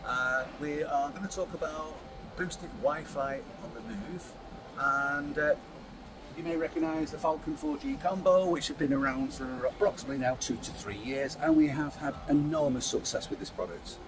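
Man in his fifties, slightly high voice, upbeat sales demo, busy show floor noise behind. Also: normal speed. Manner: upbeat sales pitch, handling kit while explaining. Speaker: company product presenter. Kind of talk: product demonstration. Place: trade show stand, indoors. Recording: trade show floor with clear background noise.

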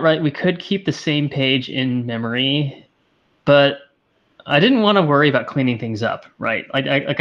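Stuffy-nosed guy on a headset call, slightly muffled lo-fi sound, mid pitch, stops and restarts a lot. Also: a bit bright. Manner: thoughtful, hesitant, many restarts. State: congested, sniffly. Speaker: co-host of a game dev stream. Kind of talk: casual technical chat.

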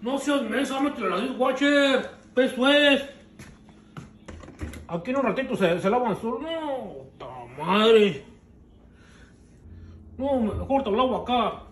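A woman's voice: fairly high pitch, animated, unhurried, pauses often, a little hiss or hum. A woman voicing a clumsy, grumbling guy doing kitchen chores. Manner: grumbling, crude. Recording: roomy and distant.

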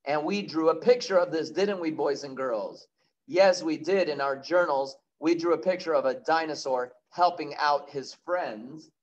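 A man's voice: on the high side, normal speed, highs cut off, slight background noise. A man reading aloud to young children as a kindergarten teacher, chatty, asking questions and answering them himself.

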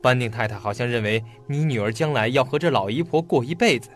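An actor playing an earnest, polite young police detective in a radio drama. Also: male voice, mid-range voice, quick pace, soft background music, close to an everyday voice. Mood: earnest, pleading.

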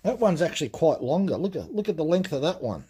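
Husky-voiced man explaining chainsaw teeth. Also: a little high, fast talker. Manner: relaxed, plain-spoken, explaining step by step. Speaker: chainsaw channel host. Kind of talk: hands-on workshop demonstration. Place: workshop bench.

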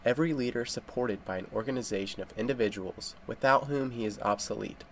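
A man's voice: medium pitch, quick pace. A man reading scripted narration for an introductory video. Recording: noisy voice-over with background ambience.

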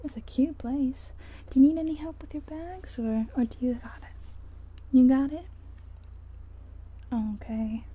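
A woman playing a friendly, chatty taxi driver in soft-spoken ASMR roleplay, breathy and often whispering, gently hesitant as she murmurs along. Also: high voice, fairly even intonation, unhurried, pauses often. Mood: warm, grateful. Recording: soft ambient car and rain noise.

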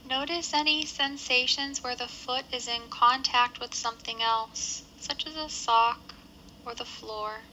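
Bright-voiced woman guiding a meditation. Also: fairly high pitch, fairly even intonation, slight background noise, poor audio. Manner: measured, instructional, gentle phrasing with pauses. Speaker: meditation guide. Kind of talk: guided body scan meditation.

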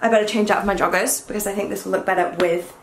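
A young woman with a croaky, hungover voice, chatting excitedly in a casual try-on haul vlog. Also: medium pitch, very fast. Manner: excitable and gushing. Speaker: fashion vlogger. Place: small bedroom, indoors. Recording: echoey room.

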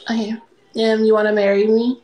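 A young woman with an American accent, a native English speaker, hosting a casual chat with a hesitant, coy, deadpan delivery. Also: on the high side, moderate pace, faint music behind, low-quality recording. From California, United States. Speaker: livestream host. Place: room indoors. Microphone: phone at arm's length.

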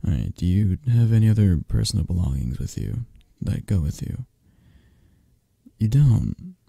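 An intimidating, possessive mafia boss played by a man in an intimate ASMR roleplay. His voice is very dark and husky, close and dry, teasing and flirtatious as it swings lazily between murmurs and firmer orders. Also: low voice, sing-song, dry recording, full character voice. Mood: businesslike, commanding. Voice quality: husky, gravelly, at times breathy. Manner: slow, murmuring, teasing, with drawn-out pauses.

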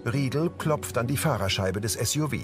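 A man's voice: mid-range voice, expressive, moderate pace. A man reading scripted present-tense scene narration as an audio describer for a drama. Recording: music behind the narration. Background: background music.

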